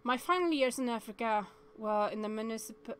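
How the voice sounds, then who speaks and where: A woman's voice: a little high, animated, brisk, smooth. A woman streamer reading a visual novel aloud, stumbling over words and chatting to viewers between passages.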